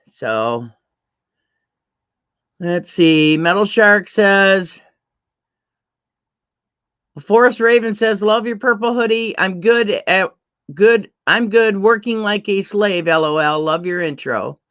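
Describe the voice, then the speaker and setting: A middle-aged woman with a deep voice, hosting a casual livestream chat, chatty and laughing often as she reads out comments. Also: lively intonation, takes it slowly, long pauses, fairly bright tone, dull audio. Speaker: livestream host.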